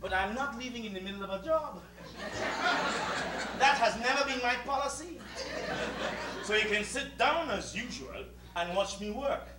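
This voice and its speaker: An actor playing a proud, meticulous West Indian servant with a Caribbean accent, making formal, emphatic speeches in a theatrical style. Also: male voice, very high voice, animated, moderate pace, pauses often. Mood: dignified, stubborn. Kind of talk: theatrical two-hander stage acting. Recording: roomy distant stage recording with hiss.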